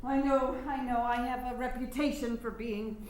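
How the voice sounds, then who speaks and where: A woman's voice: a little high, fairly even intonation, moderate pace, darkish tone, natural voice. A woman playing a passionate, headstrong suffrage leader, effusive and emotional, in a staged play reading. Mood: effusive, adoring. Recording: echoey room, faint background noise.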